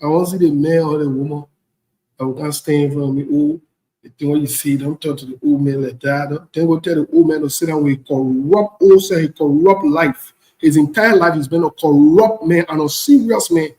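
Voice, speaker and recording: A man's voice: a little high, a little fast, dark tone. A man from the Ivory Coast with a West African accent, delivering a blunt, indignant, unscripted political rant and repeating phrases for emphasis. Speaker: online political commentator. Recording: echoey room.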